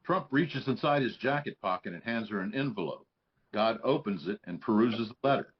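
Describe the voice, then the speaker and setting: A man's voice: on the low side, expressive, normal speed, highs cut off. A man reading scripted stage directions as narrator at a live table read.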